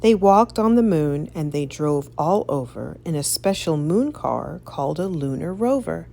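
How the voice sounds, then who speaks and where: A woman reading a rhyming picture book aloud for children in an expressive, sing-song storytelling way. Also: deep voice, unhurried, creaky. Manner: animated, sing-song, careful storytelling delivery.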